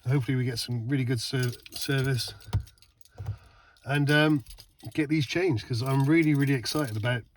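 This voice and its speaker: A man talks outdoors at night beside a van, excitable and quick to exclaim, often repeating words. His voice sits at an ordinary pitch, with faint noise in the background. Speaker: van owner testing lights. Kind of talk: casual vlog chat.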